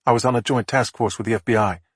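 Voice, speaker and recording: A composed female investigator who lays out the facts in a level, matter-of-fact way, as a male narrator reads her. The voice is husky and dry, recorded close for an audiobook. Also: medium pitch, fairly even intonation, fast, no room sound, close to an everyday voice. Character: calm, methodical female police investigator. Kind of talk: audiobook character voice.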